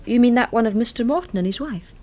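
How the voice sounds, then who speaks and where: A woman's voice: on the high side, animated, fast talker, warm tone, natural voice. An actress playing a down-to-earth, sceptical young woman in a radio drama, blunt and questioning, teasing but guarded. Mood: curious, probing.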